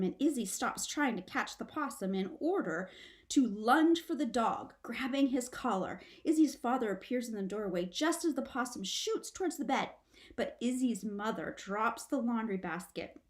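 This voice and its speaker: A woman reading a children's book aloud like a teacher, with dramatic, expressive shifts of emphasis. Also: fairly high pitch. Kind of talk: expressive storybook read-aloud.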